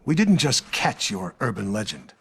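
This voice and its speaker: Grim, authoritative intelligence chief. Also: male voice, fairly low pitch, very animated, moderate pace, breathy edge, exaggerated voice. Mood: grim, assured. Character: grim, authoritative male ex-agency chief recounting a manhunt. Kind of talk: video game cutscene acting.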